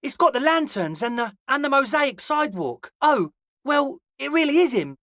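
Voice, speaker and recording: A male actor playing a young, excitable but laid-back club organiser in a video game, talking in earnest, slangy dude speak. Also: extremely high pitch, normal speed, dry recording, studio quality, exaggerated voice. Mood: enthusiastic, friendly. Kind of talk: video game character dialogue.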